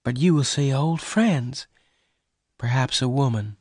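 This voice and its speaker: A man voicing a gentle, anxious, devoted young woman of the frontier in an audiobook story, with soft, earnest emotion. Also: mid-range voice, unhurried, no room sound, clean studio sound, pitched above the performer's own voice, lightly acted. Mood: sad, worried.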